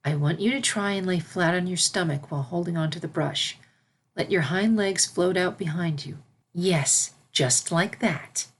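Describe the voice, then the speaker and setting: A regal pony princess from a storybook, as a woman reader plays her, with a slightly low voice. She is a gentle mentor who instructs patiently and reassures. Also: moderate pace, husky. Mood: patient, instructive. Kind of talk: animated fan fiction character reading.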